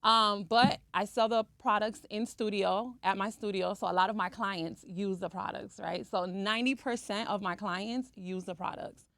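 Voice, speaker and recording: A woman's voice: mid-range voice, fairly even intonation, moderate pace, creaky, few pauses, a bit bright, no room sound. A woman from Cuba who has lived in the US since early childhood, talking chattily as an esthetician guest in a podcast interview and often checking in with the listener. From Cuba, living in the US since age three. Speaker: interview guest, esthetician and skincare brand owner.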